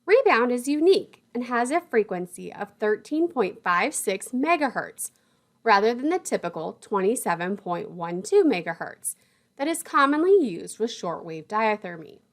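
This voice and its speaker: A woman delivers a scripted technical lesson in a fairly high voice. Her pitch moves in a lively way from phrase to phrase. The wording is formal and the terms are spoken with care, as a lecturer would. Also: normal speed. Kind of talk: scripted instructional narration.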